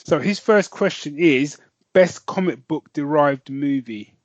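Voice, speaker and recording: A man's voice: on the high side, measured pace, no room sound, lo-fi sound. A man with a British accent co-hosting a podcast, chatting casually and teasing his co-host with frequent laughs. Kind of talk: casual chat.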